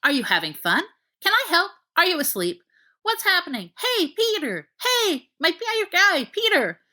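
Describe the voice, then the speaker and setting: A woman voicing a young man whose mind has turned half dog, gushing and excitable. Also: very high voice, moderate pace, voice pushed up, heavily acted. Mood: giddy. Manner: breathless, gushing, yippy.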